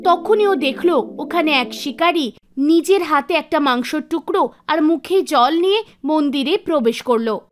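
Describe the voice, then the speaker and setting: Female cartoon story narrator, high register, scripted storytelling over soft background music. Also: expressive, unhurried.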